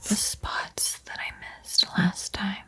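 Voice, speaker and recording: A woman giving an ASMR art tutorial in a breathy whisper, with a soft, unhurried, playfully eerie and teasing manner. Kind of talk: whispered ASMR painting tutorial with spooky roleplay.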